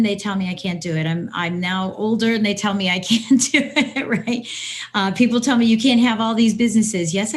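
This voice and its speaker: An older woman, around sixty, talking conversationally as an interview guest, giving motivational advice and repeating phrases for emphasis. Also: mid-range voice. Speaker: podcast guest, business coach.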